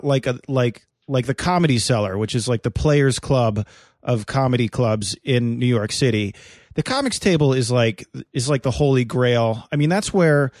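A male comedian co-hosts a loose podcast from his apartment, talking in a rambling way full of fillers, restarts and crude asides. The sound is dry and close with no room around it, and his pitch sits in the middle for a man. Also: darkish tone, no room sound. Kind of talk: casual banter podcast.

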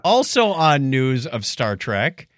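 A man's voice: medium pitch, big pitch swings, takes it slowly, fairly bright tone, no room sound, clean studio sound. A man co-hosting a podcast, chatting casually through science news with jokey, wry asides. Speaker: podcast co-host. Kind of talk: casual science news chat, paraphrasing articles.

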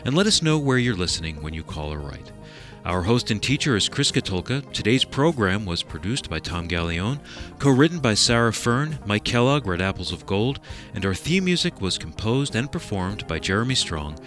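Man, radio announcer, animated lowish delivery, deliberate read of contact info over faint music. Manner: clear, deliberate reading of contact details. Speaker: radio program announcer and executive producer. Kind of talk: scripted radio program outro. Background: faint theme music.

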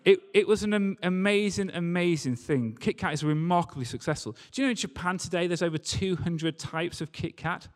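A man's voice: high voice, a little fast, hardly any pauses. Man preaching in a reverberant hall. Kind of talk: sermon with storytelling. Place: large church hall, speaking to a congregation. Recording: live talk in a reverberant hall.

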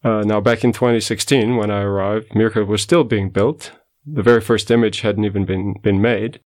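A middle-aged man from Portugal with a Portuguese accent, giving measured, explanatory answers as a guest scientist in an interview. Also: lowish voice, steady tone, a little mellow. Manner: measured, explanatory, self-correcting.

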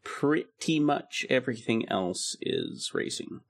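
A man's voice: mid-range voice, animated, slow pace. A man co-hosting a podcast in casual chat, laid-back and easily enthused, with many "um"s. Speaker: podcast co-host.

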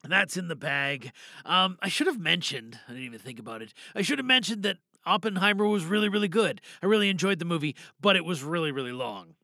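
A man's voice: sits high, animated, fast, bright, forceful tone, no room sound, clean studio sound. A man hosting an audio drama podcast, chatting casually in a playful, rambling way. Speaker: podcast host and voice performer.

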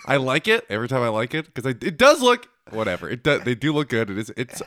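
A man's voice: mid-range voice, wildly swooping pitch, quick pace, a bit bright, dry recording, clean studio sound. A man with a Winnipeg accent, co-hosting a podcast in casual chat, blunt and sarcastic, swearing freely. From Canada. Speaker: podcast co-host.

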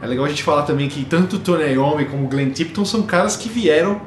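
A man's voice: a little high, lively intonation. A man co-hosting a podcast in casual music chat, explaining things and tagging on "né?". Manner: fast, lecturing, adds "né?" often. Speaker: podcast co-host. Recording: echoey room with faint noise.

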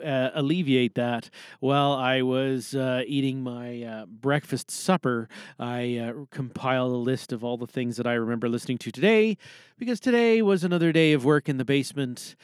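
A man's voice: mid-range voice, smooth, dry recording, clean studio sound. A Canadian man hosting a podcast, talking in a casual, rambling, self-deprecating way. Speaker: podcast host. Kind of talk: casual rambling solo chat. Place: small recording booth.

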